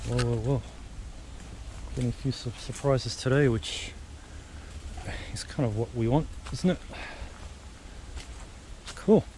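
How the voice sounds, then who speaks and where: Male travel vlogger, chatty and thinking aloud, mid-range voice, unhurried delivery, recorded outdoors with noticeable noise behind. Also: mid-range voice, mellow, rough recording. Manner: chatty, muses aloud while exploring. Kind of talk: casual walking commentary. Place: outdoors in rural countryside. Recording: outdoor camera audio with noise. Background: wind and outdoor ambience.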